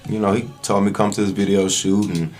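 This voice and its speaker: Male interview guest, slightly deep, conversational storyteller with casual asides. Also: level tone, a little fast, a little mellow. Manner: conversational, frequent "you know" asides. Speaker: podcast guest, actor and videographer.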